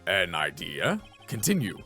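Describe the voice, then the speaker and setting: A man voicing a stern, formal old trial judge from a video game, slow-witted and ponderous. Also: sits low, wildly swooping pitch, pushed and bright, faint music behind, voice pushed down, heavily acted. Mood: solemn.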